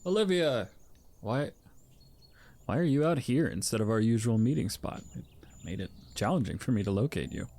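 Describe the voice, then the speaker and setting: An actor in an audio drama playing an earnest personified emotion, with stiff, archaic phrasing and halting delivery. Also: male voice, medium pitch, expressive, mellow. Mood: gentle, formal. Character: Fear, formal earnest male personified emotion, newly calm.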